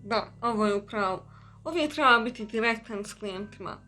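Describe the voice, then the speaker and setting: A woman's voice: mid-range voice, fast talker, pushed and bright. A woman giving casual let's play commentary as host of a gaming video. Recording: voice over game music.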